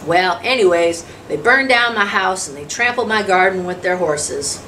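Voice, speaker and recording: A woman's voice: lowish voice, expressive, normal speed, fairly bright tone. A woman acts out a humble, hungry drifter in a storybook reading. He tells his hard life plainly, in a folksy rural dialect. The sound is a little distant, with faint noise behind. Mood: matter-of-fact, recounting. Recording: distant mic, noisy room sound.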